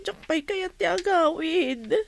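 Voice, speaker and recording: A woman's voice: very high-pitched, animated, takes it slowly, gravelly, a little hiss or hum, full character voice. A woman acting a distressed young student, a plaintive younger sister in an audio drama. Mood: pleading. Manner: plaintive, whining.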